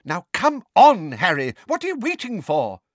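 A man voicing a flustered, scolding elderly woman in an audiobook, exclaiming and fussing in a shrill, indignant way. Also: big pitch swings, moderate pace, fairly bright tone, no echo, studio quality, heavily acted. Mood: flustered, impatient. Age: older.

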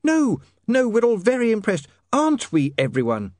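A man voicing a high-pitched, earnest young lady. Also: huge pitch swings, moderate pace, darkish tone, no room sound, clean studio sound, exaggerated voice. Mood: reassuring. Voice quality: high, feminine put-on voice. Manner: urgent, emphatic, coaxing. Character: brisk, bossy, earnest young lady, urgently encouraging.

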